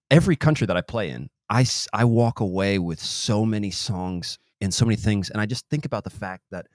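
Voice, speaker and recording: A man with a very low voice hosts a podcast in casual chat. He rambles, restarts his sentences and lets phrases trail off. The sound is dry and close, with no room. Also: no echo. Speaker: podcast host.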